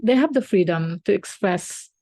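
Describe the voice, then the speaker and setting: A woman's voice: medium pitch, expressive, moderate pace, dark tone, no room sound. A woman teacher and podcast co-host who is not a native English speaker, chatting casually with many fillers and restarts.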